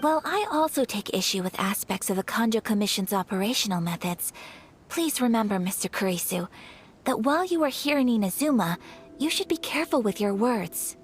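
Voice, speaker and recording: Casting: dignified young noble lady for a game, woman actor, highish voice, formal and courteous, music underneath. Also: animated, close to an everyday voice. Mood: composed. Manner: formal, courteous, measured diction. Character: poised, dignified young noblewoman of powerful clan. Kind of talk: video game character acting.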